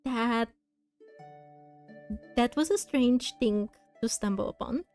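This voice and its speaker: A woman's voice: on the high side, takes it slowly, a little mellow, faint music behind. A woman hosting a gaming video, commenting casually and thinking aloud as she plays. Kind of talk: casual let's play commentary, reading game text aloud.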